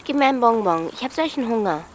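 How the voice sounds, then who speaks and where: A woman's voice: fairly high pitch, lively intonation, fast, a little hiss or hum, lightly acted. A woman playing a blunt teenage girl, faint buzzing. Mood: cheeky, demanding. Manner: blunt, colloquial, questioning. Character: bossy, cheeky, brooding thirteen-year-old girl in wartime. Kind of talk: naturalistic radio drama acting.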